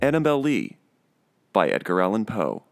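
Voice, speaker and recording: A man announces titles in a scripted style, in a lowish voice that swings widely in pitch. Loud plucked guitar music plays behind him. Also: wildly swooping pitch, moderate pace, gravelly.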